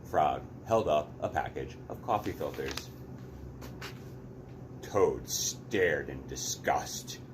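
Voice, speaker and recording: Deep-voiced man reading a story aloud. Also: lively intonation, takes it slowly, long pauses, noisy background. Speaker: read-aloud host. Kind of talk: expressive storybook narration.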